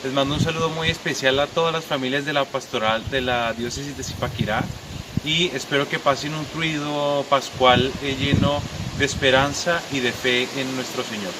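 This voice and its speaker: A young adult Franciscan friar from Bogotá with a Colombian accent gives a calm, reflective pastoral message outdoors by a church, pausing often with "eh". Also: male voice, medium pitch. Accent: Bogotá Colombian Spanish. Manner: calm reflective preaching with frequent "eh" pauses. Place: outdoors at a convent beside a church. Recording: clear outdoor background noise.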